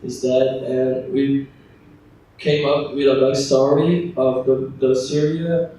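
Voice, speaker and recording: A young man from Brazil, a student panelist, speaking in a halting, hesitant way that trails off. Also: mid-range voice, little pitch movement, dark tone. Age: young adult. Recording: echoey room from far off, faint noise.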